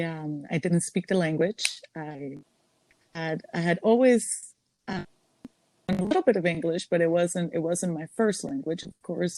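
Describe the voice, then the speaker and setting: A woman's voice: low-pitched, darkish tone, no room sound, low-quality recording. Chatty young woman from Costa Rica. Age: 20s. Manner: chatty, many ums and restarts. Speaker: guest, salon owner. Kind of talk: casual interview.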